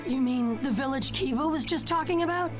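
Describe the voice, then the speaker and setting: Actress as brash teen boy ninja for game dub; high, insistent, stubborn. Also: fairly quick, music in the background, natural voice. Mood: determined. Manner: loud, stubborn, urgent pleading. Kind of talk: game cutscene English dub.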